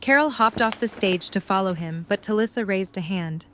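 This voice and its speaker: A woman's voice: mid-range voice, normal speed, a bit bright. A woman reading scripted story narration as the narrator of an audio drama.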